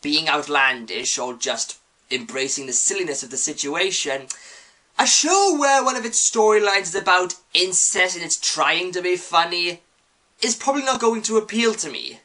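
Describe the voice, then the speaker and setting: A woman's voice: on the low side, lively intonation, moderate pace, bright, forceful tone, rough recording. A woman reading a scripted, opinionated video review.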